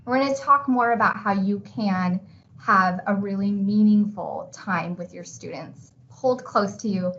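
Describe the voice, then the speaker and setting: A woman's voice: medium pitch, unhurried, fairly bright tone. A woman teacher presenting a webinar in a conversational, encouraging and reassuring way. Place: remote online video call. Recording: dull online webinar audio with background noise.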